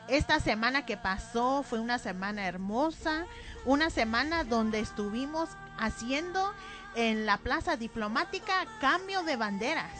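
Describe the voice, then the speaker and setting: High-voiced woman co-hosting church radio. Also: a bit bright. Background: music.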